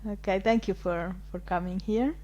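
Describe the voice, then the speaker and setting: A woman's voice: mid-range voice, steady tone, moderate pace, dark tone. A woman from Italy, hosting a podcast and asking her guest questions in a friendly, curious way. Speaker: podcast host. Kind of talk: casual interview chat.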